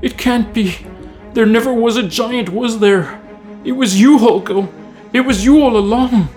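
A man voicing a small, loyal hobbit servant who pleads anxiously in a strained, high voice for an audio fantasy story. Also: very animated, a little fast, darkish tone, faint music behind. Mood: distraught. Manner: urgent pleading.

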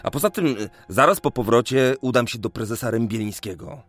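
An ardent young surveyor in love for a historical radio drama. His delivery is tender and urgent, with very animated pitch. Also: brisk, faint music behind. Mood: planning, hopeful.

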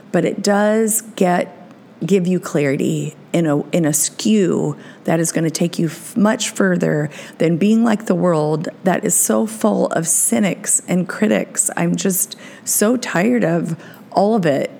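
A woman hosting her weekly podcast, talking casually in an encouraging, rambling way, her voice gravelly and tired. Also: on the low side, a little mellow. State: exhausted. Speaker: podcast host. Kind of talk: casual solo podcast talk.

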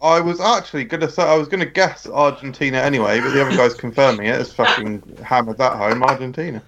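A man's voice: medium pitch, quick pace, highs cut off, heavy background noise. A man taking part in a friends' quiz, talking bluntly and rambling through his reasoning. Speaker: quiz contestant. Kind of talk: casual banter.

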